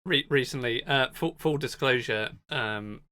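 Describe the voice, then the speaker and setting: A man co-hosting a podcast, chatting informally in a slightly halting way. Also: medium pitch, bright, forceful tone, a little hiss or hum. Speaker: podcast co-host, band tour manager. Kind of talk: casual podcast chat.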